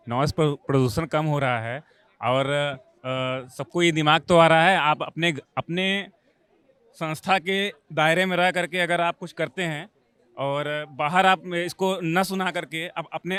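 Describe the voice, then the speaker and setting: Guy in a street interview, slow and hesitant, smooth clear voice. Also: on the high side, steady tone, a bit bright, no room sound. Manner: hesitant, searching for words. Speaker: member of the public being interviewed.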